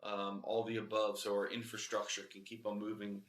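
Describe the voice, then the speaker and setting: A man's voice: on the low side, completely flat delivery, moderate pace, a bit bright, roomy sound. A man hosting a podcast, friendly and a little rambling, with plenty of ums. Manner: friendly, rambling, many fillers. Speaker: podcast host.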